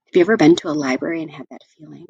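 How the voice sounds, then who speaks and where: A woman reading a picture book aloud to children, with expressive, deliberate phrasing. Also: low-pitched, creaky, breathy edge, no echo, muffled recording. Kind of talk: read-aloud storytelling for children.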